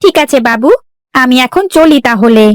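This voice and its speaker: A woman voicing a loyal, dutiful servant in an animated moral story, speaking earnestly and with deference. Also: high-pitched, normal speed, no echo, studio quality, natural voice. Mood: earnest, reassuring. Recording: music behind the voice.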